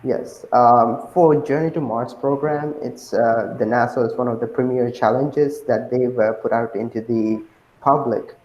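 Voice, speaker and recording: A young man from Sri Lanka answering interview questions as a guest, pausing often with ums and uhs. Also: mid-range voice, steady tone, darkish tone, reverberant, a little hiss or hum, low-quality recording. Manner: hesitant, many ums and uhs.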